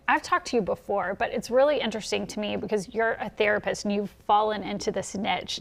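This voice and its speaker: A woman's voice: mid-range voice, vocal fry. A woman hosting a TV news interview show, leading the conversation in a friendly, conversational way.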